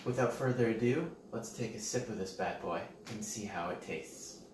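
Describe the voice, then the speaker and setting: Deadpan man with clear background noise. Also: on the low side, normal speed. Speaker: cooking show host. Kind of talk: playful how-to recipe vlog. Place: home kitchen and dining room.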